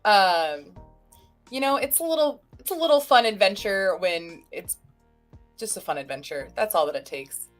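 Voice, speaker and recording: A woman's voice: mid-range voice, expressive, normal speed, soft background music. A woman co-hosting a casual livestream, chatting in a rambling, self-deprecating way. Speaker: stream co-host.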